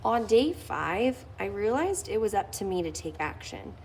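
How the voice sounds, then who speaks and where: A woman's voice: medium pitch, sing-song, normal speed, gravelly, darkish tone, slight background noise. A woman reading a children's picture book aloud, lifting her voice expressively on key phrases. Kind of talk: read-aloud storytelling.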